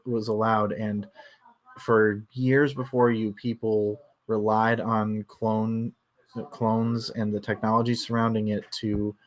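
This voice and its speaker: A man hosting a casual book discussion, thinking aloud in a halting way and circling back over his phrases. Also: lowish voice. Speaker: book club discussion host.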